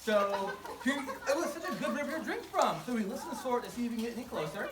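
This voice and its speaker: A woman tells a story to a group with lively, swooping intonation, casual and playful, poking fun at herself as she goes. She stands away from the microphone in an echoey space, with a loud crowd around her. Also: on the high side, quick pace. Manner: casual, playful, self-deprecating, prompts listeners to join in. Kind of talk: interactive live storytelling for a group with audience participation. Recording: echoey, far from mic, noisy crowd. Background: crowd of listeners calling out.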